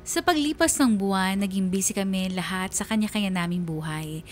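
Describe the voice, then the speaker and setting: An actress playing a wistful young woman who narrates a confession in a measured, confiding radio drama style. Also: mid-range voice, soft background music, close to an everyday voice. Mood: guilty, longing. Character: wistful young woman confessing secret love for best friend's girlfriend. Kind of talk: first-person radio drama letter narration.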